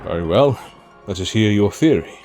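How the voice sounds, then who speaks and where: A man's voice: fairly low pitch, expressive, normal speed, music in the background, natural voice. A man playing a proud, weary aging king and protective father in a fantasy audio drama, speaking with formal, stately diction. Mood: resigned.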